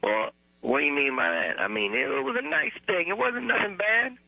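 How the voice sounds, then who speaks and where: A man's voice: high-pitched, huge pitch swings, a little fast, creaky, no echo, heavily acted. A man voicing a blunt, touchy Las Vegas caller in his fifties, talking in a defensive, ungrammatical drawl over a phone line. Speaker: recurring radio caller.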